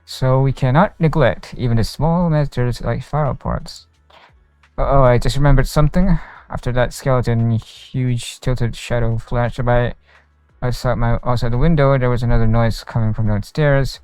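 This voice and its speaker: A man's voice: mid-range voice, fairly even intonation, normal speed, a little mellow, clear, resonant tone, no echo. A man streaming a video game, casually commenting and reading dialogue aloud into a close mic with game music behind.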